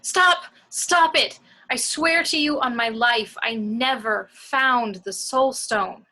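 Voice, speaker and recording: A woman's voice: on the high side, slow, bright, forceful tone, full character voice. A woman playing a bitter, defiant warrior daughter in an amateur script read, with an emotional, accusing delivery. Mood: pleading, distressed.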